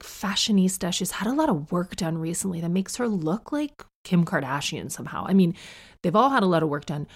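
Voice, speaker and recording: A woman's voice: on the low side, darkish tone. Chatty North American woman podcaster. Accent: neutral North American. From Canada. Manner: chatty and gossipy, quick asides. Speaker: podcast host. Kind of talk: casual monologue.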